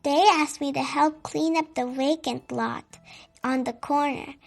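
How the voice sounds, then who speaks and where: High-pitched, slow-paced storybook reader. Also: fairly even intonation, creaky. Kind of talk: read-aloud storytelling for children.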